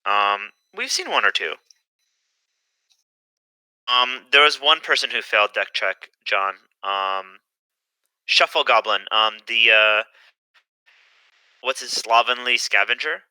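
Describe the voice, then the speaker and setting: A young man giving casual live commentary on a card game tournament, hesitant and filling pauses with "um". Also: mid-range voice, expressive, sharp tone, clean tone, no echo, poor audio. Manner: hesitant, lots of "um" fillers.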